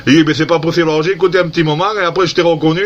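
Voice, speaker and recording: Chatty male radio hobbyist, slightly high voice, amazed and repetitive, dull recording. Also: fairly even intonation, very fast. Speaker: amateur radio operator. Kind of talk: casual CB-style radio contact.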